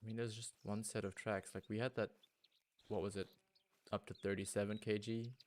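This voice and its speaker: Male streamer, German native, deep and flat delivery, casual running chat with viewers. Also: brisk. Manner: thinking aloud, greeting chat viewers. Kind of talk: casual gaming livestream chat.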